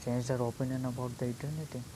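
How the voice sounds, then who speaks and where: Murky, halting gamer with noisy hiss. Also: mid-range voice, little pitch movement. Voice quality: murky, muffled. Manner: halting, musing, many hums. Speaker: gamer commenting on own gameplay. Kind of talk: casual gameplay commentary. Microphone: phone earphone mic.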